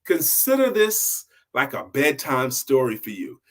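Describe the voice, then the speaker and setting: An older man hosting a story show, telling informal motivational bedtime stories in a deliberate manner, repeating phrases and checking in with the listener. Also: medium pitch, huge pitch swings.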